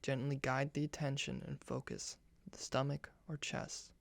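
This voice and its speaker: A soft, hushed man guiding a meditation in ASMR style, speaking gently in measured short phrases. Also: mid-range voice, level tone, creaky, dry recording.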